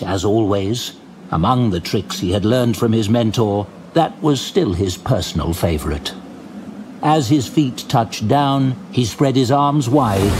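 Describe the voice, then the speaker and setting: A man giving scripted fantasy audio drama narration, measured and dramatic, recorded as a studio voice-over. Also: fairly low pitch, noisy background. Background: faint music.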